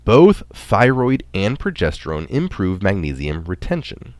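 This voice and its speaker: A man reading a scripted health article aloud as narration, in a deliberate, explanatory manner. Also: on the low side, big pitch swings, no echo.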